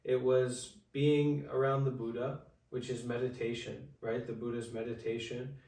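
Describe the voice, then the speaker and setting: Guy in his 20s talking slow with lots of ums, pretty monotone, sounds far from the mic. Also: mid-range voice. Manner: halting, many fillers and restarts. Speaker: interview guest, Buddhist monk. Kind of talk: casual storytelling in an interview. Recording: echoey room, far from the mic.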